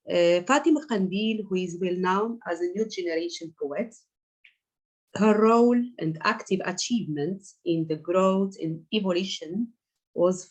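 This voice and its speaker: A woman whose first language is Arabic hosts an online festival session over a video call. She talks carefully, halting often to find her words. Her pitch sits in a middle range. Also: poor audio. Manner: careful, halting, with many fillers. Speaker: festival host and speaker. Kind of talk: online festival talk and poetry reading.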